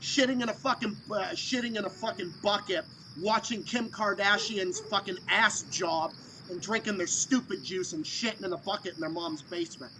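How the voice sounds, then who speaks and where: A man hosting a live stream call, ranting loudly and swearing constantly. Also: high voice, normal speed, background noise. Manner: profane, loud ranting.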